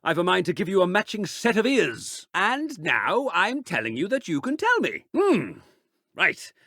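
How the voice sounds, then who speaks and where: A blustering, pompous schoolmaster. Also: male voice, high voice, huge pitch swings, a little slow, bright, forceful tone, no echo, studio quality, exaggerated voice. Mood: haughty. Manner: blustering, haughty, scolding. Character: pompous bullying male headmaster type. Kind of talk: video game dialogue.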